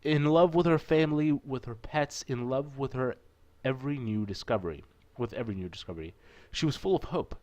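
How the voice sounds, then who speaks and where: A man's voice: mid-range voice, expressive, fairly quick, hardly any pauses, a little mellow, highs cut off. A man narrating a visual novel playthrough, with an informal, self-correcting manner and casual asides. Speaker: let's play narrator.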